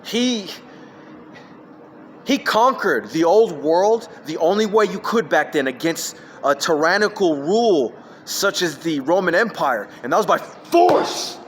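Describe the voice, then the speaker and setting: High-voiced man on a rambling rant. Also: very animated, unhurried, a little hiss or hum. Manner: jumps between topics, emphatic and scattered. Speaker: vlogger. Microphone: phone.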